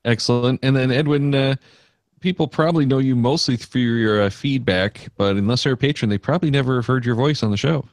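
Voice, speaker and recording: A man's voice: mid-range voice, brisk, dry recording, clean studio sound. A man hosting a podcast in casual chat, talking loosely with many fillers and restarts. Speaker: podcast host.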